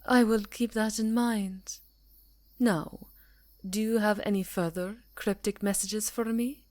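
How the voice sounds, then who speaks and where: Woman as a haughty, seductive vampire countess, slow and poised. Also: medium pitch, steady tone, darkish tone, no room sound, clean studio sound, pitched above the performer's own voice, natural voice. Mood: cool disdain. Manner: poised, purring, condescending. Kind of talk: ASMR fantasy audio roleplay.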